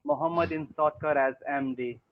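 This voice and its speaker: A man's voice: fairly high pitch, sing-song, moderate pace, vocal fry, slight background noise. A young man who is learning English, talking hesitantly with many fillers on an online tutoring call. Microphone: video call. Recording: tinny phone-like call audio.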